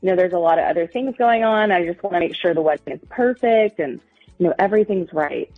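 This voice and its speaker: Low-voiced woman caller, muffled sound. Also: fast talker. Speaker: caller to a radio show. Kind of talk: casual phone chat, hesitant explaining. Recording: muffled lo-fi audio, music underneath.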